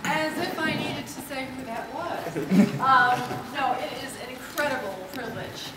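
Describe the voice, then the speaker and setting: A woman's voice: high voice, expressive, measured pace. A woman moderating a convention Q&A, giggly and enthusiastic. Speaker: panel moderator. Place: convention hall. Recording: echoey hall from far off, loud crowd.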